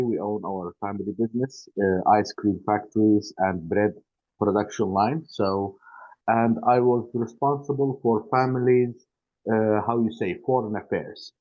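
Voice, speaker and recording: A middle-aged man from Iraq with an Iraqi accent, introducing himself haltingly as he searches for English words. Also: fairly low pitch. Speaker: family business representative. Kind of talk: self-introduction at networking meetup. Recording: dull, lo-fi call audio.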